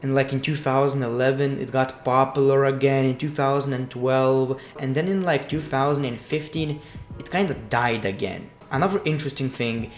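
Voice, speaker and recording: A man's voice: medium pitch, moderate pace. Lithuanian man giving blunt wrestling takes. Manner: blunt, opinionated, with a dry crude humor. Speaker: wrestling news vlogger. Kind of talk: casual commentary. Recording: echoey room with music underneath.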